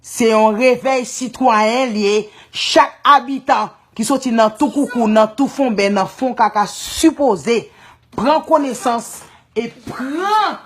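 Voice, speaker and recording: A woman's voice: on the high side, takes it slowly. A woman from Haiti with a Haitian accent, speaking in an impassioned, repetitive way about her country and mixing in Creole words. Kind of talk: impassioned political talk.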